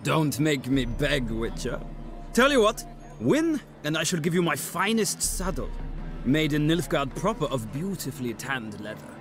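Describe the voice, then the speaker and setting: A man's voice: on the high side, sing-song, normal speed, fairly bright tone, faint music behind. A man playing a courteous, haughty aristocratic general in a video game, formal and mannered. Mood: playfully challenging.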